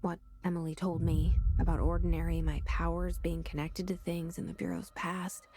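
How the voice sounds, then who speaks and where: An actress voicing a guarded young woman's inner thoughts in a video game, hushed, intimate and wary. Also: little pitch movement, moderate pace, natural voice. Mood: wary, intense. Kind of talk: video game internal monologue. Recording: rumbling background noise.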